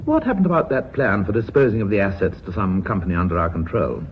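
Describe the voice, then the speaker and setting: An actor playing a genial, reflective British knight who heads a company, courteous and a little hesitant, in a radio drama. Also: male voice, fairly low pitch, animated, quick pace, close to an everyday voice. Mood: genial, businesslike. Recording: dull radio recording with faint hiss.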